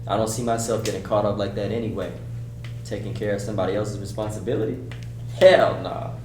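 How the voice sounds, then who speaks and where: A man's voice: fairly low pitch, fairly quick, close to an everyday voice. A man playing a guarded, dismissive young man with slangy, curt speech in a table read. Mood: scoffing. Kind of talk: scripted dialogue read aloud. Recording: echoey room, distant mic, clear noise.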